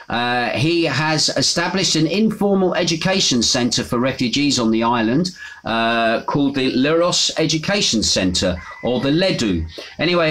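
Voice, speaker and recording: A man's voice: mid-range voice, fairly bright tone, rough recording. A man hosting a live news broadcast, reading viewer messages and commenting in a chatty way, with many "uh" and "anyway" fillers.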